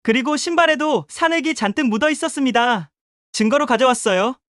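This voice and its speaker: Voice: high-pitched, fairly quick, a bit bright, no echo, studio quality. An actor playing a polite, businesslike detective in an audio drama. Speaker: police detective.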